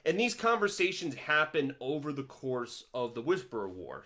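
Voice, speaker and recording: A man giving a casual commentary vlog, rambling with many restarts and swinging his pitch and loudness animatedly. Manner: rambling, with frequent restarts and stumbles. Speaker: vlogger reviewing a TV series.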